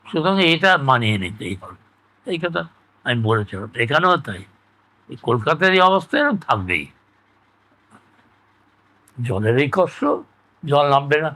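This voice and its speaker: An older man with a West Bengal Bengali accent, explaining things in a halting, repetitive, conversational way as he reminisces. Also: mid-range voice, sing-song, pushed and bright, low-quality recording. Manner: halting, repetitive explaining with frequent tag words. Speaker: interviewee recounting his experience. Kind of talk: conversational oral-history reminiscence.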